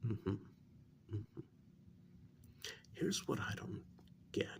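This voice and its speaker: A man playing a calm clinical researcher in an ASMR role-play, speaking softly and gently in a breathy, creaky near-whisper with deliberate pauses. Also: slight background noise. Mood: calm, probing. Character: calm clinical male researcher gently interviewing a werewolf patient.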